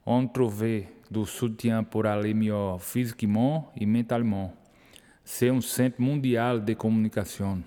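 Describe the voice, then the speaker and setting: Slow, low Brazilian-accented man. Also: steady tone, mellow. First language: Portuguese. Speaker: exhibition participant. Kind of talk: scripted narration, listing. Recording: long reverb.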